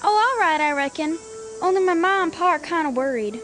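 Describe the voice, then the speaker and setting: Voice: high-pitched, brisk, fairly bright tone, faint music behind. A performer playing an obedient, chatty little farm girl in a radio drama. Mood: chatty, innocent.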